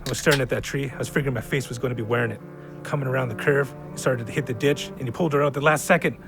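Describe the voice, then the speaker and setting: An actor playing an excitable, foul-mouthed drinking buddy in an audio drama. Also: male voice, mid-range voice, racing, vocal fry, music in the background, lightly acted. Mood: boozy excitement.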